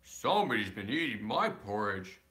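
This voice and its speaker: Man putting on a gruff, grumpy great bear. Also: lowish voice, very animated, moderate pace, sharp tone, slight background noise. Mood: grumpy, indignant. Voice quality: rough, gruff.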